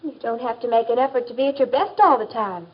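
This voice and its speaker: A woman acts out a self-assured American teenage girl from a 1950s instructional film. She speaks in a fairly high voice with a confident, advising manner. The vintage track sounds dull. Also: fast talker, lightly acted. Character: assured teenage girlfriend pushing advice on her friend. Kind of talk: 1950s educational film acting.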